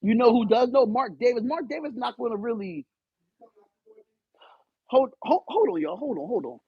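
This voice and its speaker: A man hosting a live stream, loud and animated, swearing and arguing back at his chat. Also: extremely high pitch, normal speed, breathy edge, no echo, highs cut off. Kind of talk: casual live stream rant.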